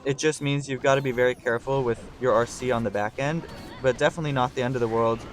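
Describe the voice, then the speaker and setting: Young male gameplay commentator, slightly hoarse, mid-pitched voice, thinks out loud, faint noise behind. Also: fast talker. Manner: talks through his thinking, excitable on big plays. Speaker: gaming channel host.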